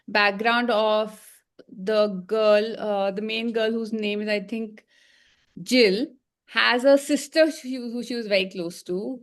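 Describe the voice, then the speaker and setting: A woman co-hosting a casual film review chat, speaking her opinions emphatically. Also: medium pitch. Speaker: podcast co-host reviewing a film.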